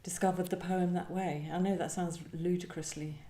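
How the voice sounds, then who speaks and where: A woman's voice: lowish voice, steady tone, normal speed, dark tone. A woman poet speaking reflectively about her work, thoughtful and searching in her phrasing. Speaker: poet discussing own work. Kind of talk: reflective literary conversation with poetry reading. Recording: roomy live event sound.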